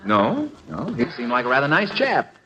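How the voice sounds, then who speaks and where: A man's voice: medium pitch, wildly swooping pitch, moderate pace, bright, forceful tone. An American man acting a suspicious, sarcastic middle-aged husband and father in a 1950s radio comedy, dry and hesitant. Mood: dismissive. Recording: dull, very noisy old radio broadcast.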